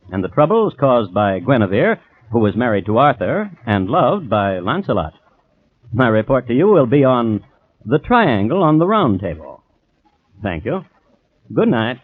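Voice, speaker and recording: A man playing a crime historian who narrates an old radio drama in a measured, dryly ironic way. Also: medium pitch, big pitch swings. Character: dry, storytelling male crime historian. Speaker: host and narrator. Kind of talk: 1950s radio scripted narration. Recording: dull old radio recording, faint noise.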